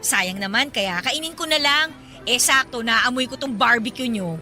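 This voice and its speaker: A cheeky young Filipina in a radio drama. Her voice is high and piercingly bright, and she talks back in a pert, sarcastic way. Soft music runs behind her. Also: normal speed. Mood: cheeky banter. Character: sassy, food-loving young woman, cheeky and grudge-holding. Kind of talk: Tagalog radio drama acting.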